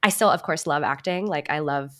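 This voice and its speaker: A woman's voice: low-pitched, a little fast, fairly bright tone. A woman answering interview questions conversationally, in a reflective and earnest manner. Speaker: podcast guest, actor and writer.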